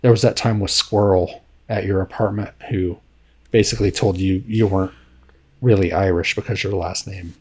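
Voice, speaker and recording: A man co-hosting a podcast, telling a story in casual chat, slowly and haltingly, with many fillers. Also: low voice, fairly even intonation, breathy edge. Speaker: podcast co-host.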